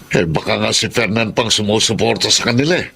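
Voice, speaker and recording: A man's voice: fairly low pitch, a little fast, vocal fry, bright, forceful tone, slight background noise. A man playing a caring, frank older farmer uncle in a Filipino drama, concerned and probing.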